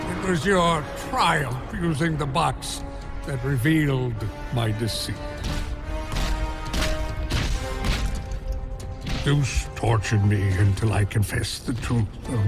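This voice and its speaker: An actor playing an anguished, scheming old giant smith god in a video game cutscene, halting and theatrical. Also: male voice, mid-range voice, lightly acted. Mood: sorrowful, confessing. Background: cinematic game music.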